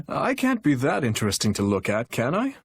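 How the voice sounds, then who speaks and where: A young warrior king for a video game, gentle and earnest yet burdened. His husky voice moves expressively through courtly, measured phrases. The sound is dry and close, without room. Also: medium pitch, no room sound, heavily acted. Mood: lightly teasing. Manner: formal, measured, courtly diction. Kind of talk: video game character voice lines.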